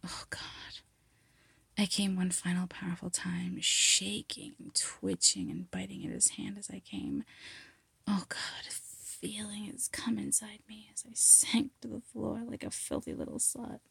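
Female, low-pitched and very gravelly, for intimate seductive roleplay narration; teasing, half-whispered delivery, close and dry. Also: very animated, unhurried, a bit bright, dry recording. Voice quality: breathy, husky, gravelly. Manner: sultry, teasing, often dropping into whispers. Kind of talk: intimate erotic audio roleplay monologue. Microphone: close mic, dry sound.

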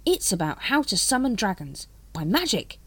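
A woman's voice: medium pitch, sing-song, normal speed, a bit bright, breathy edge, no echo, voice pushed up. A woman voicing an eager, earnest young male city watchman in a storybook, with emphatic delivery. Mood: triumphant.

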